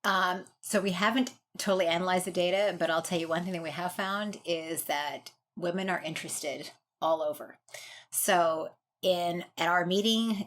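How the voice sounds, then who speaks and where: A woman's voice: fairly low pitch, a bit bright. An American woman speaking as a guest doctor in an interview, explaining her views in an informal, conversational way. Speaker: guest doctor, urogynecologist and researcher.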